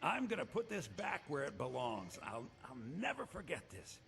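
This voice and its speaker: An excitable, high-pitched male shopkeeper. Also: normal speed, creaky, slight background noise, heavily acted. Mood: delighted, grateful. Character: excitable shopkeeper man, fussy with his sister, grateful. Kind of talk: video game character acting.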